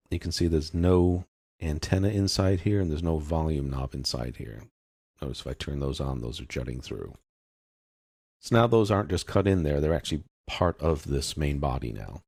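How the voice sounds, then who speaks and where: A man narrating a step-by-step software tutorial as an instructor, explaining in an informal, unscripted way. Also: low-pitched, creaky, no echo, clean studio sound.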